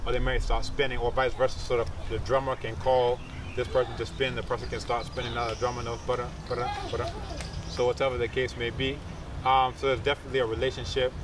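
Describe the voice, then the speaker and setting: A man's voice: mid-range voice, far from the mic. A man in his thirties talking informally as a teacher in an interview, explaining things with asides. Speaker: researcher and martial arts teacher. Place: outdoors among trees and bushes. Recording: outdoor recording with insects and traffic noise.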